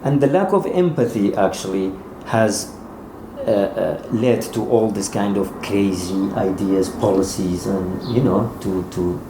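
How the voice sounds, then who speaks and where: A man being interviewed as an author, speaking haltingly and repeating words as he searches for phrasing. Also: on the low side. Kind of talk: interview conversation. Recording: roomy echo, faint background noise.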